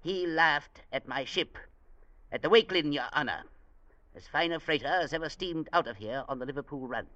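An actor playing a hot-tempered, proud freighter captain in an old radio drama, blustering and combative. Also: male voice, a little high, moderate pace, bright, forceful tone, dry recording. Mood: indignant. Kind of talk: 1940s-50s radio drama acting. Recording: dull vintage radio recording.

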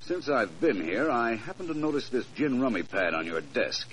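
An American actor playing a suave, coolly probing private detective with polite, measured questioning in a 1940s radio drama. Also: male voice, mid-range voice, very animated, fairly bright tone. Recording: noisy vintage 1940s radio recording.

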